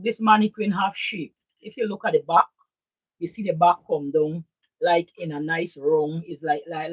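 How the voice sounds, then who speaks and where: A hoarse woman, chatting casually through a live sewing tutorial, repeating her points and often checking in with "right?". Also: fairly low pitch, no room sound, rough recording. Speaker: sewing and pattern-drafting teacher. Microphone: camera set up in front of her.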